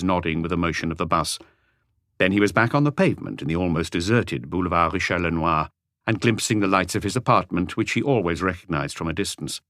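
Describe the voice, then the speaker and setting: A man's voice: deep voice, fairly bright tone, no echo, studio quality. A man reading a mystery novel as a scripted audiobook narration.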